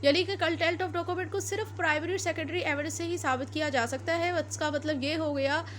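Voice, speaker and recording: A woman's voice: sits high, fairly even intonation, normal speed, a bit bright, a little hiss or hum. A woman with a Pakistani accent giving an explanatory law lecture, repeating and rephrasing her points as she goes. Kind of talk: explanatory lecture mixing Urdu and English.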